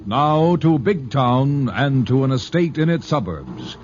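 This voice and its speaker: A man with an American accent delivers dramatic vintage radio narration. His mid-range voice comes over loud music on a muffled 1940s broadcast. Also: medium pitch, sing-song, measured pace.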